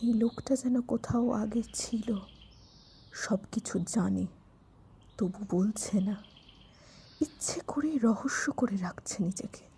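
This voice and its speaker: A woman playing an uneasy, intrigued investigator with a hushed, breathy dramatic delivery in an audio drama. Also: mid-range voice, slow pace, long pauses, a little hiss or hum. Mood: suspicious, hushed. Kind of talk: dramatic audio story acting.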